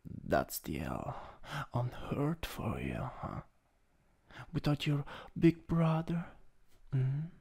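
A dominant older stepbrother played by a man in an ASMR roleplay, with an Italian accent. He speaks extremely slowly and teasingly, with creaky fry and pitch that swings freely. His manner is possessive and intimate. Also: wildly swooping pitch, a little mellow, full character voice. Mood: possessive, pleading. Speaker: roleplay audio performer.

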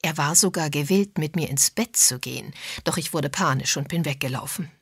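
Narrator lady as a tough blunt woman sleuth, low and husky, frank, audiobook. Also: normal speed, dry recording, studio quality.

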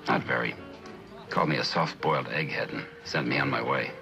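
A middle-aged actor playing a weary, plain-spoken loyal friend in a 1960s television drama, laconic and understated. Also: male voice, rumbling low, brisk, creaky, over music. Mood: subdued, wry.

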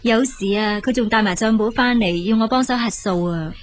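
A woman's voice: fairly high pitch, fairly quick, natural voice. An actress playing a hard-working, caring elder sister and young mother in a Cantonese radio drama, with a Hong Kong accent. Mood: weary.

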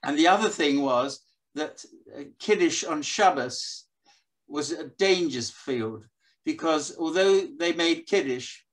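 A man's voice: fairly high pitch, animated, a little slow. An older man in the audience recalling stories with amusement on a video call. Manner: anecdotal, amused. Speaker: audience member.

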